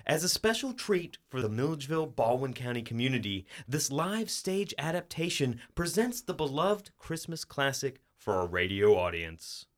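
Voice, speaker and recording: Male radio host, very animated pitch, studio interview delivery. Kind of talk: scripted introduction and interview hosting.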